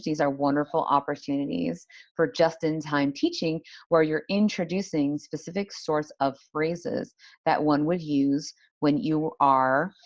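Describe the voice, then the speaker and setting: A woman's voice: sits low, no echo, dull audio. A woman giving an explanatory academic presentation as a language teacher, posing rhetorical questions as she goes. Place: indoor room, online symposium talk.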